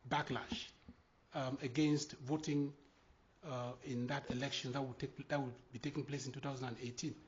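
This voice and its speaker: A man, asking a long question from the floor at a talk, full of ums and uhs. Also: medium pitch. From Cameroon. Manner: halting, many ums and uhs. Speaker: audience member asking a question. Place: meeting room at a public talk. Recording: muffled, lo-fi conference recording.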